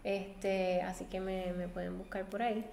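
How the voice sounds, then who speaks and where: A woman speaks as an interview guest, earnest and reflective, pausing to find the right words. Her voice sits at an ordinary pitch and rings in a roomy space with a long tail of reverb. A faint hum runs underneath. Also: level tone, vocal fry, warm tone. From Puerto Rico. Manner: thoughtful, searching for words, earnest. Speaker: guest designer. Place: seated at a table with coffee.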